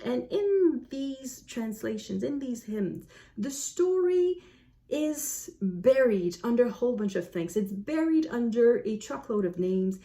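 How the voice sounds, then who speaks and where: A woman with a French accent speaks in a fairly high, very soft-toned voice. She talks casually to viewers as a book reviewer, reflective and explanatory, revising her statements as she goes.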